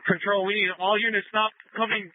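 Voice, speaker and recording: A man's voice: high-pitched, level tone, brisk, phone-quality sound, background noise. A male police officer making urgent, clipped emergency transmissions over a police radio.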